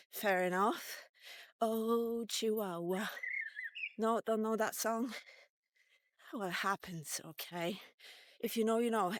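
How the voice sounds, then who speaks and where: A woman's voice: a little high, dry recording. A woman in her fifties rambling through a casual vlog rant in a sarcastic, sweary, conversational way. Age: 50s. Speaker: vlogger. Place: outdoors on a walk.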